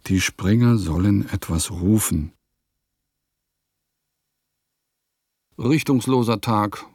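A man's voice: on the low side, mellow, no room sound, clean studio sound. A man playing an eccentric nineteenth-century composer reading out his diary in clipped fragments for a radio play. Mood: musing.

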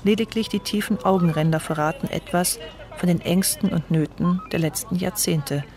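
A woman narrating a radio documentary in a calm, measured, scripted way. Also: lowish voice, faint music behind.

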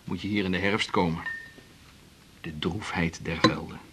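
An actor with a Netherlands Dutch accent playing a playful, romantic cellist hosting a dinner date in a 1980s radio drama. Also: male voice, sits low, steady tone, normal speed, lightly acted. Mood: musing, playful. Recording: 1980s radio recording, clear background noise.

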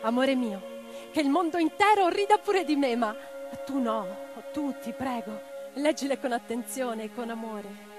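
An actress playing a young, passionate and romantic socialist woman in a radio drama, speaking in an expressive, earnest, lyrical way. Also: high voice, a little slow, music in the background. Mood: tender. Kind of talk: theatrical radio drama monologue and dialogue.

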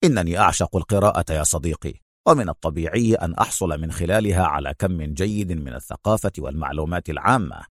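A stubborn military hero, voiced by a male narrator. He speaks very low and firmly, in a measured, sardonic manner, as in a dramatic audiobook. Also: dry recording, clean studio sound. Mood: calm, wry.

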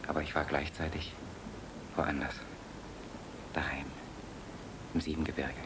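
A fighter pilot and husband in a German radio play. His voice is low, and he speaks softly and reflectively, weary and full of tender doubt. There is clear noise in the background. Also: little pitch movement, a little slow. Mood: wistful, troubled. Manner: soft, hesitant, reflective. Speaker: actor. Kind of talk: German radio drama acting.

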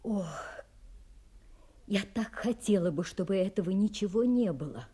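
Proud melancholy lady, soft and husky. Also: mid-range voice, animated. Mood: melancholy, offended. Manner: grave, restrained, deliberate. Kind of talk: audio drama acting.

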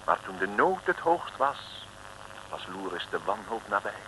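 A man's voice: on the low side, sing-song, unhurried. A man narrating a scripted 1960s radio comedy serial with theatrical, rhythmic storytelling. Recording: noisy old radio recording.

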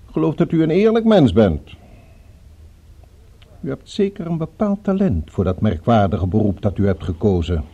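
Courteous menacing villain, very mellow dark tone, swooping intonation, formal phrasing, radio drama. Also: male voice, mid-range voice, big pitch swings, normal speed, long pauses, husky, lo-fi sound. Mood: urbane, probing. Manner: formal, urbane, musing, politely threatening. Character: courteous, menacing male villain with a revolver, cultured.

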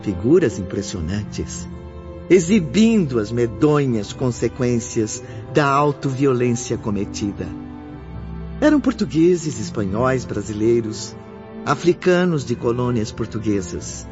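Um homem narrando uma radionovela em tom solene e dramático, com texto roteirizado. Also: male voice, mid-range voice, big pitch swings. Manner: solemn, measured. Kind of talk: scripted dramatic radio drama narration. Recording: dull lo-fi audio, music behind.

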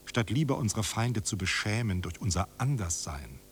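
A man's voice: fairly low pitch, very animated, normal speed, a little hiss or hum. A man in a German radio drama playing a refined, idealistic friend who pleads solemnly and formally, as if reading a letter aloud. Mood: gentle, solemn. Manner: solemn, imploring, rhetorical, formal.

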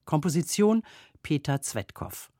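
A man presenting a radio programme in a scripted, measured announcing style and putting questions to a guest. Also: fairly high pitch, very animated, dark tone, no echo, clean studio sound. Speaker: radio host. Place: dry broadcast studio. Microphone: close studio microphone.

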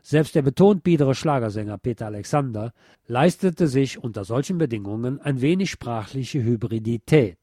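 A man's voice: mid-range voice, animated, normal speed, mellow, no echo, clean studio sound. A man reading a scripted documentary narration as a radio narrator.

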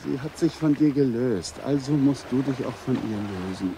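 An actor playing a composed, courteous man who probes discreetly in a German radio drama, speaking in a measured, polite way. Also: medium pitch, expressive, slight background noise, lightly acted, rough recording. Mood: soothing, urging.

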